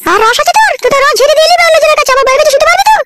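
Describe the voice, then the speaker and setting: A shrill nagging cartoon wife, very high voice, heavy hiss behind. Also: female voice, lively intonation, racing. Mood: scolding. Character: furious young wife scolding her husband. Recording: loud hissy noise behind.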